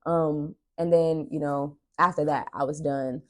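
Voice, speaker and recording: A young woman with a low-pitched voice hosts a casual, chatty vlog. She tells her story with dramatic emphasis, acting out bits of dialogue as she goes. Her delivery is animated and conversational. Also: a little slow. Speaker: podcast host and aspiring actress.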